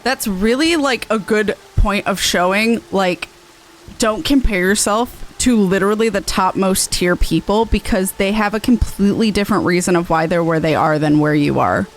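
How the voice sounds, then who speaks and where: A woman's voice: medium pitch, normal speed, slight background noise, poor audio. A woman giving casual, slangy livestream commentary while playing a game. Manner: slangy, informal. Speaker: game streamer.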